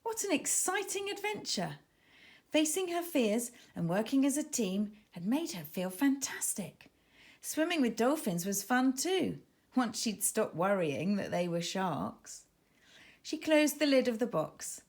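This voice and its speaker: A woman's voice: on the high side, very animated, unhurried. A woman narrating a children's story expressively, with dramatic emphasis as she reads. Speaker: author reading own children's book.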